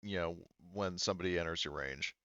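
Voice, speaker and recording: Low, smooth-voiced man refereeing a game. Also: brisk, a bit bright, no echo, lo-fi sound. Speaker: game master. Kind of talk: casual tabletop game refereeing.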